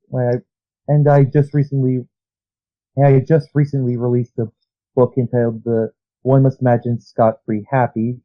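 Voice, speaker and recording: A man talking as a guest writer on a podcast, thinking out loud in long rambling stretches full of restarts. His pitch is ordinary, and his recording sounds dull and lo-fi, with the top end rolled off. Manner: rambling, analytical, trailing restarts. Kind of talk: casual interview chat.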